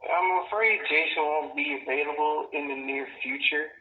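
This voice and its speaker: A man's voice: a little high, normal speed. A man answering a business phone with brief, polite, evasive replies, heard over a telephone line. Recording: muffled distant phone line.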